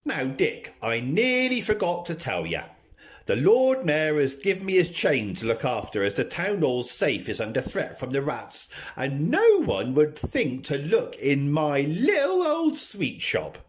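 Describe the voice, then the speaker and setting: A saucy pantomime dame, played by a man, motherly and theatrical. The pitch swoops extravagantly in cheeky radio comedy style. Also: wildly swooping pitch, measured pace, soft background music.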